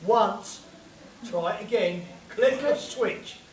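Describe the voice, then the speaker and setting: A man's voice: sits high, slow. A man reading out sound effect cues in a comic spoof radio play. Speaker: sound effects cue reader. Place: convention stage before an audience. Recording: distant, echoey, very noisy live room.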